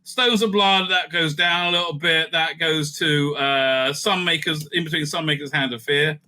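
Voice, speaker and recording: A man hosting a casual, off-the-cuff ranking commentary, animated and thinking aloud with frequent asides. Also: on the high side, moderate pace. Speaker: host ranking TV serials.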